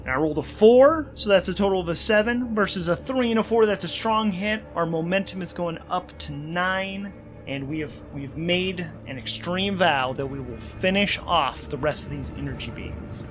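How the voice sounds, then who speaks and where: A man's voice: high-pitched, moderate pace, fairly bright tone. A man working as game master and host of a solo tabletop game, thinking aloud as he narrates rules and story. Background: music.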